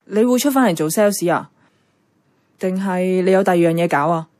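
A woman's voice: on the low side, normal speed, a little mellow, natural voice. An actress with a Hong Kong Cantonese accent playing a thoughtful, frank young woman in a radio drama. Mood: hesitant, frank. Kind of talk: radio drama dialogue and narration.

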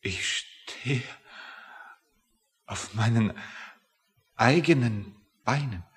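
An actor playing a childishly delighted man of nearly fifty in a radio satire, halting and repetitive with wonder. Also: medium pitch. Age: late 40s. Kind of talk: satirical radio play acting.

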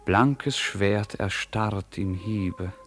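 A man giving a measured, solemn and expressive literary narration for a radio play. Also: on the low side, faint music behind.

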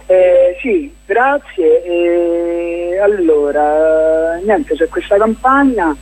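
A man with a husky voice, speaking as a guest in a casual radio interview, explaining his projects in a hesitant, rambling way. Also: sits high, extremely slow, a little mellow, low-quality recording. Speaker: playwright and documentary filmmaker, interview guest.

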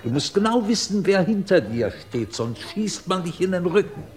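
An actor playing a ruthless, scornful British scientist in a 1950s German radio drama, cold, cutting and commanding. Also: male voice, high-pitched, big pitch swings, moderate pace, a little mellow. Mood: cynical, cool.

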